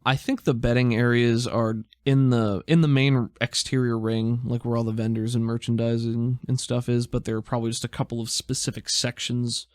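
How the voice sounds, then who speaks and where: This is a game master running a tabletop roleplaying game. The voice sits at a medium pitch with a dry, close sound. The narration is casual and conversational, like talking to friends at the table. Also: level tone, normal speed, hardly any pauses, dry recording.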